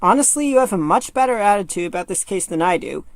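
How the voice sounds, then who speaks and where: A woman's voice: mid-range voice, expressive, quick pace, noisy background, lightly acted. A woman playing an earnest, slightly doubtful assistant to a defense lawyer in an audio drama.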